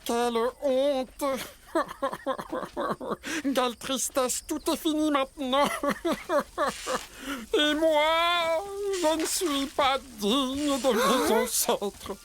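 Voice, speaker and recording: Casting: a solemn cartoon koala, theatrical and formal, slow with big swoops in pitch, music underneath. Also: female voice, lively intonation, background noise, exaggerated voice. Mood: despairing. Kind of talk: dubbed children's cartoon acting.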